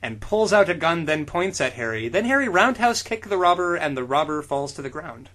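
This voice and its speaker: Guy reading dumb fanfic out loud deadpan, a bit high, voice jumping all over. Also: fairly quick. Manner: deadpan reading that breaks into laughter. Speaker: podcast co-host. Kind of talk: mock-dramatic reading of fan fiction.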